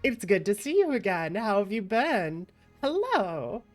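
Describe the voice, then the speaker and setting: A woman's voice: medium pitch, very animated, measured pace, soft background music. A woman livestreaming a game playthrough as a VTuber, talking casually in a chatty, affectionate, playful manner. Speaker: VTuber streamer.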